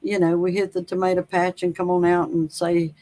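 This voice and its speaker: Slow-talking Southern woman with a North Carolina drawl. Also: on the low side, level tone, dark tone. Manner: slow, rambling, folksy, with many fillers. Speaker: author guest. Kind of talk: casual interview.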